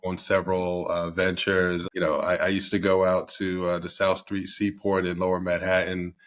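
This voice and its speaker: A man's voice: deep voice, monotone, moderate pace, slight background noise. A middle-aged man from Barbados, a company head as podcast guest, talking casually with many fillers and restarts. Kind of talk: casual interview.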